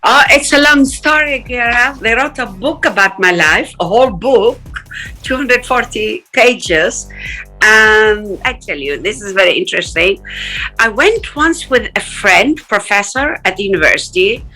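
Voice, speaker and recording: Animated middle-aged woman from Palestine, bright voice. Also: mid-range voice, a little slow, faint music behind, rough recording. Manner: animated, acts out quoted voices, laughs often. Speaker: interview guest. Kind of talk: casual storytelling in an interview.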